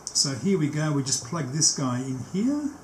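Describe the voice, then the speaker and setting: A man's voice: fairly high pitch, sing-song. Echoey workshop demonstration by an older man. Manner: step-by-step explaining, often pausing mid-task. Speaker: technician demonstrating a tape machine setup. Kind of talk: hands-on demonstration, unscripted walk-through. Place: small cluttered indoor workshop. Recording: echoey room, faint background noise.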